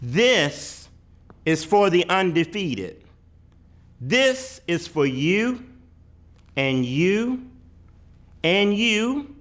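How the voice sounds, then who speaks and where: A man giving a dramatic read-aloud of a poetic picture book, with deliberate, emphatic phrasing and weighty pauses. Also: sits high, big pitch swings, fairly bright tone. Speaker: reader of a children's picture book.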